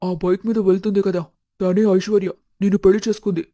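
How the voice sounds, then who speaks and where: A man voicing a worried young husband in an animated moral story, polite and serious. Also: high-pitched, quick pace, no echo, clean studio sound, close to an everyday voice. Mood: puzzled, serious.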